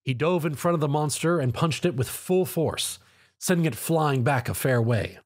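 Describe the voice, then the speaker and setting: Guy narrating an action story, lively pitch, slightly husky voice. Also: normal speed.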